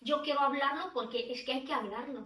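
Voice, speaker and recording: A middle-aged woman talks reflectively on a podcast, often pausing and restarting her sentences. She sits some distance from the mic in an echoey room. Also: high-pitched, a bit bright. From Romania. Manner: reflective, many restarts and fillers. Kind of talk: podcast conversation.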